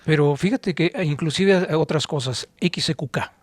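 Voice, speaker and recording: Higher-pitched middle-aged Mexican man reminiscing. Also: normal speed, no room sound, clean studio sound. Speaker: podcast co-host. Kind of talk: reminiscing conversational storytelling.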